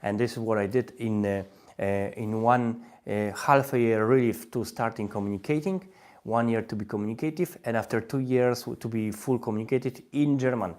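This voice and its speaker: A man's voice: medium pitch, normal speed, a little mellow. A man in his thirties with a Polish accent hosting a language-learning live stream, repeating phrases and coaxing viewers to comment. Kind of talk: live-stream webinar talk.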